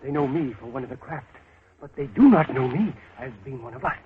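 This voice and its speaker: An actor playing a fearful thief in a 1930s radio drama, pleading and alarmed. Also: male voice, medium pitch, a little fast, slight background noise, close to an everyday voice. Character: fearful thief among the beggars, male adult. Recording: tinny old radio broadcast.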